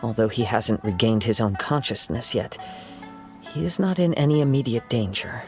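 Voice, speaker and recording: Male actor as young samurai wanderer: composed, courteous, reflective, mid-range voice, game dialogue with clear background music. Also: mid-range voice, darkish tone, close to an everyday voice. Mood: calm, concerned. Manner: measured, formal, composed. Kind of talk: video game voice acting.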